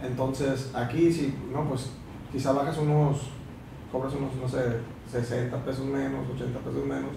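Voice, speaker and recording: A man's voice: mid-range voice, level tone, normal speed, gravelly. A young man with a Sinaloa Mexican accent, a barber chatting on a podcast and explaining at length with many fillers, recorded by a far camera in a small room. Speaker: barber guest. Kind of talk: casual podcast chat. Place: small room with a mirror, indoors. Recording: echoey room, mic far off, background hiss.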